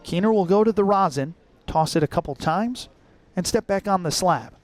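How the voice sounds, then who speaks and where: High-voiced American baseball play-by-play man. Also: moderate pace, no echo, faint music behind. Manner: clipped descriptive phrasing. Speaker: baseball radio play-by-play announcer. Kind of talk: live sports commentary.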